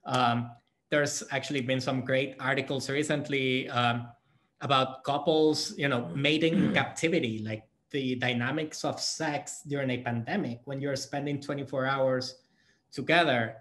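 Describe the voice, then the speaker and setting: Guy from guatemala fielding q's at a conference, mid pitch, lots of um and restarts. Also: steady tone, a bit bright. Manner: halting, thoughtful, many fillers and restarts. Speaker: conference session co-presenter. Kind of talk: casual conference Q&A answers.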